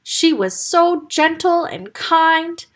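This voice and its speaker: A woman voicing a small, timid piglet who talks quietly and whines. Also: extremely high pitch, expressive, slow pace, pitched above the performer's own voice, full character voice. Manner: small and whiny.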